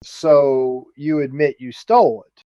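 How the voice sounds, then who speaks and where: An actor playing a gruff, impatient police officer in an audio drama, brusque and exasperated. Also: male voice, medium pitch, slow pace, mellow, dry recording, close to an everyday voice. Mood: impatient, suspicious.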